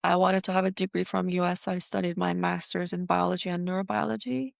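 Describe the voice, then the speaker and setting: A woman from Iran, a dentist guest in an interview, talking casually with many pauses and fillers. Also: lowish voice, fairly even intonation, gravelly, no room sound, studio quality.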